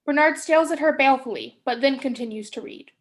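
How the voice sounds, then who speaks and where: A woman's voice: high voice, a little fast. A young woman hosting a staged play reading and narrating its stage directions. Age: young adult.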